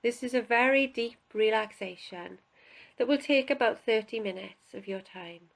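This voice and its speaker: Woman guiding a deep relaxation. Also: creaky. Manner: calm, unhurried, instructional, with pauses. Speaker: yoga relaxation instructor. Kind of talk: guided relaxation, spoken instruction.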